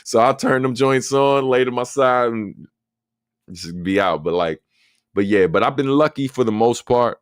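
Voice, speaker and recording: A man with a deep voice hosting a casual livestream Q&A, answering viewer questions in a relaxed, talkative way with plenty of fillers. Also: moderate pace, clear, resonant tone, dry recording, clean studio sound. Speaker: host.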